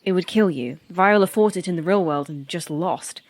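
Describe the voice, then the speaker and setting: Woman acting low-voiced, resolute sentient network woman. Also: normal speed, no echo, natural voice. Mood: grave, afraid. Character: woman who is a sentient computer network, wounded, wry, resolute. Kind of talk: sci-fi horror audio drama acting.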